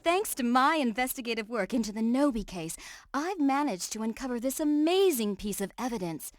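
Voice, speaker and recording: A woman's voice: on the high side, very animated, brisk, no room sound. A woman playing a gushing, theatrical host of an occult television show in a video game. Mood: dramatic. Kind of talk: video game voice acting, TV presenting.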